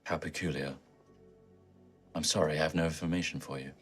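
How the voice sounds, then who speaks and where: Man playing a low, calm, formal ship's computer. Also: breathy edge, soft background music. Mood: calm, puzzled. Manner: measured, formal, detached. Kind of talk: tabletop game role-play.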